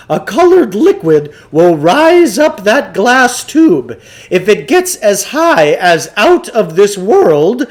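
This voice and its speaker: A man's voice: high-pitched, a little mellow, voice pushed up, full character voice. A man voicing an eccentric, grandiose shopkeeper from a storybook, with pompous, theatrical delivery. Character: eccentric male occult shopkeeper, grandiose, boastful showman. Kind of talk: acted storybook dialogue.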